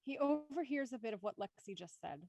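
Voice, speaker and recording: A woman's voice: a little high, animated, fairly quick, vocal fry, a little mellow, no room sound. A woman hosting a live script reading and reading the stage directions aloud.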